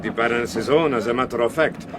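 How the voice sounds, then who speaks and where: An actor playing a polite, assured doctor, courteous and precise, in a radio drama. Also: male voice, mid-range voice, quick pace, crowd noise, natural voice. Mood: smooth, assured. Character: polite, formal doctor travelling with a patient. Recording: clear train noise behind.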